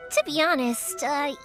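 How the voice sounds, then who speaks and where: High, lively young woman fireworks maker. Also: heavily acted. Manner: chatty, earnest, expressive. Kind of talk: video game character dialogue.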